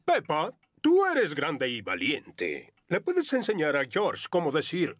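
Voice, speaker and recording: A man's voice: fairly high pitch, wildly swooping pitch, slow, a bit bright, a little hiss or hum, exaggerated voice. A man voicing a friendly bear doctor in a children's cartoon.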